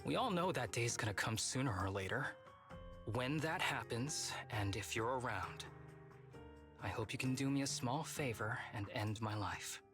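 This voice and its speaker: A man plays a reflective young fighter living with illness in a dramatic video game. He speaks softly and without hurry, wistful and philosophical, with music clearly playing behind him. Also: medium pitch, expressive, normal speed, lightly acted. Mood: solemn, tender.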